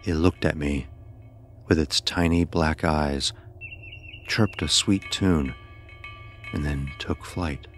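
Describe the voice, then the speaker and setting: A man narrating a scripted first-person horror story in a grave, deliberate storytelling manner. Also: very deep voice, slight background noise.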